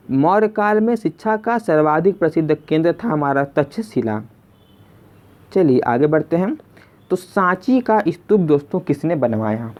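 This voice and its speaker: A tutor leads a question-and-answer exam lesson at a middling pitch. Questions get restated before the answer, and listeners are addressed as friends. The recording is dull and lo-fi. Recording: dull, lo-fi sound.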